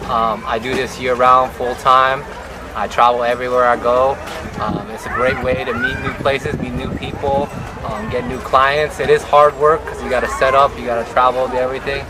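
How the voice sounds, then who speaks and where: A man's voice: mid-range voice, level tone, moderate pace, noisy background. A man from Peru who paints on glass, explaining his technique in a matter-of-fact way at an art fair. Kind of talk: casual self-introduction.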